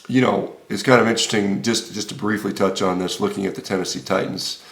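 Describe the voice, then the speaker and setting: An American man in his fifties giving informal, unscripted video commentary as a health vlogger, halting often with hesitations and repeated words. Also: fairly low pitch, steady tone, a bit bright. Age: 50s. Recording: roomy, echoey room sound.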